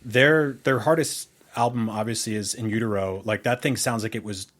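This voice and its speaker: A man's voice: fairly low pitch, normal speed, a bit bright. Middle-aged man chatting casually, swearing freely. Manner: profane and informal. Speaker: podcast co-host. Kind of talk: casual, rambling chat.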